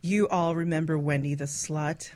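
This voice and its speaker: Lower-voiced woman hosting bawdy radio chat. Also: steady tone, moderate pace, very noisy. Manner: teasing, brash, laughs often. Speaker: radio show host. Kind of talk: casual, bawdy talk show chat.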